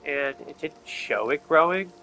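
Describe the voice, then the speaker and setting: A man's voice: on the high side, animated, unhurried, pushed and bright, faint music behind. A man giving casual gameplay commentary, thinking aloud and explaining each step to viewers. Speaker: video game player and commentator.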